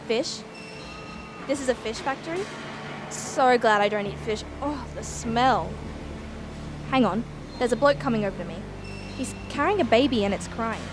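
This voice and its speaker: A woman playing a plucky young Australian student research assistant, narrating a radio play in slangy first person. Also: high voice. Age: young adult. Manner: animated, slangy.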